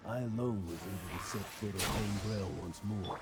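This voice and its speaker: A man's voice: fairly low pitch, moderate pace, close to an everyday voice. A man voicing a boastful adult adventurer in a video game, grandiose and theatrical in his storytelling. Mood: mournful. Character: boastful adult male adventurer, self-styled legend. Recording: studio game dub with clear music behind.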